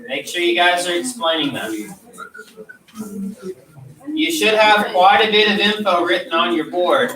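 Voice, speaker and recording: A man's voice: sits high, unhurried, pauses often, crowd noise. A man teaching a class, explaining quiz instructions step by step and checking in with "okay?". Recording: roomy, distant mic, noisy classroom.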